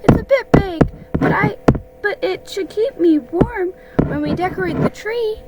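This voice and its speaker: Woman as a high-pitched, timid little piglet. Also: expressive, unhurried, heavy background noise. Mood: gentle, earnest. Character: small, kind, timid young male piglet.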